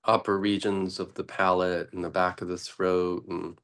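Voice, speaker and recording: A man teaching meditation in an unscripted, deliberate way, pausing often between short phrases. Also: low voice, little pitch movement, fairly bright tone, smooth.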